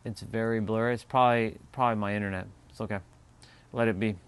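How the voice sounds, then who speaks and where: A man hosts a relaxed spiritual livestream, thinking out loud and replying to chat as comments come in. His voice sits fairly low and sounds clean and smooth, and his talk drifts loosely with the odd casual swear. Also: quick pace. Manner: rambling, musing, answers viewer chat. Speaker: livestream host.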